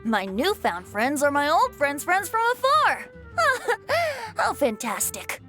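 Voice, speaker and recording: Lilting, boyish cheerful bard. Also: female voice, sits high, big pitch swings, takes it slowly, sharp tone, faint music behind, exaggerated voice. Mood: delighted. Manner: breezy, playful, flowery. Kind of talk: video game cutscene acting.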